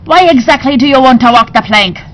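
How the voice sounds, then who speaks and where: A woman's voice: high voice, expressive, fairly quick, a bit bright, a little hiss or hum, pitched above the performer's own voice. A woman voicing a bossy, menacing pirate queen in a storybook, speaking imperiously and threateningly. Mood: commanding. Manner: imperious, threatening, old-time pirate phrasing.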